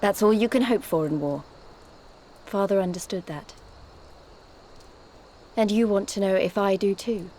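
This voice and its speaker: A woman's voice: medium pitch, a little slow, long pauses, mellow, slight background noise, natural voice. An actress playing a resolute young female rebel leader in a fantasy game, speaking in solemn, declarative phrases. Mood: solemn, determined. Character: resolute young woman, rebel heir swearing an oath. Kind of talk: dramatic fantasy game acting.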